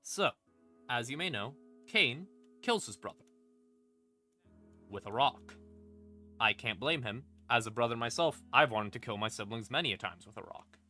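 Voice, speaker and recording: A man casually explaining game lore on a stream in an irreverent, sweary, rambling way, with dramatic pauses for emphasis. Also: medium pitch, expressive, bright, forceful tone. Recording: music playing in the background. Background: creepy ambient music.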